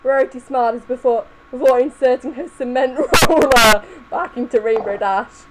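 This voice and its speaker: A woman's voice: high voice, moderate pace, very noisy. A woman giving a comedic dramatic reading of fan fiction, prone to giggling as she narrates. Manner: giggly, often breaking into laughter. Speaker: reader.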